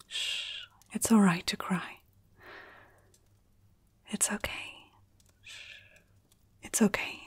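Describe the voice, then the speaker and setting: A woman playing a gentle, protective vampire in an ASMR roleplay, soft-spoken and often slipping into a whisper, soothing a human. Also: medium pitch, husky, heavily acted.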